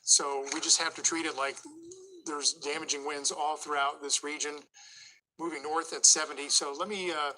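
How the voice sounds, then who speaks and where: Bright, forceful male TV weather forecaster. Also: a little high, fairly even intonation, normal speed, a little hiss or hum, poor audio. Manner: urgent, explanatory, place by place. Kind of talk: live severe weather commentary.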